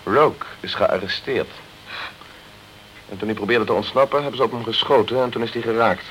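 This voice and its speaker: An actor playing a pushy, urgent male investigator in a radio crime drama, speaking in a brisk, commanding, insistent way. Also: medium pitch, fairly bright tone, close to an everyday voice. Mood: probing. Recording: noisy old radio play recording.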